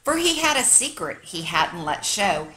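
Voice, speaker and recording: A woman reading a children's picture book aloud like a teacher, with expressive, dramatic storytelling for young listeners. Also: mid-range voice, unhurried, slight background noise.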